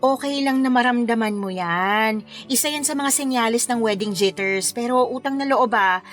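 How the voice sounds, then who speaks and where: A woman playing a frank, playful young wedding planner in radio drama dialogue, teasing and animated. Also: on the high side, moderate pace, faint music behind, lightly acted. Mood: frank, reassuring.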